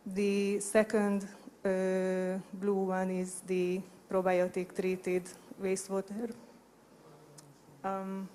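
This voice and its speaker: A woman's voice: mid-range voice, monotone, extremely slow, mellow, slight background noise. A woman in her thirties with a Hungarian accent gives a conference presentation in hesitant non-native English into a handheld microphone. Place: conference hall at a lectern. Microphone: handheld microphone held at chest level.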